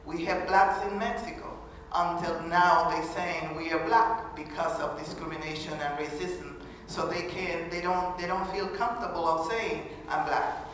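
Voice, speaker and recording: A woman's voice: on the low side, fairly even intonation, slight background noise. Woman speaking in an echoey hall. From Honduras. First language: Garifuna. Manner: earnest, halting delivery with many pauses and restarts. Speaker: speaker at a panel event. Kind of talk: formal public talk. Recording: echoey hall from far off, faint ambience.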